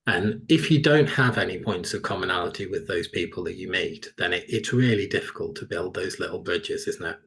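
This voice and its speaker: A man with a notably deep voice, speaking on a panel over a video call. He makes his case persuasively and keeps inviting agreement with questions. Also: a little fast, few pauses, a bit bright. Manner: persuasive, leans on tag questions. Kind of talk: panel discussion.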